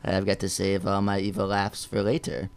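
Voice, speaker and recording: A man's voice: low-pitched, completely flat delivery, moderate pace, creaky, clean tone. A man in a student radio drama playing a boastful, impatient male supervillain who gives hammy, grandiose speeches.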